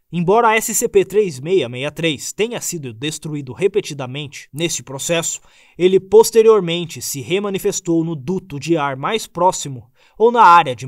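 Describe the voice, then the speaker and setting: A man narrating a formal report in a measured reading. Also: on the high side, dry recording, clean studio sound.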